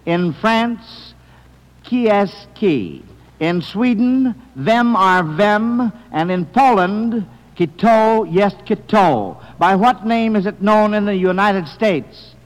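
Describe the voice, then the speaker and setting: A man's voice: sits high, expressive, slow. A man hosting a 1940s radio quiz, speaking to children in a folksy, paternal manner. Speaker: quiz show host. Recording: reverberant hall, vintage radio broadcast.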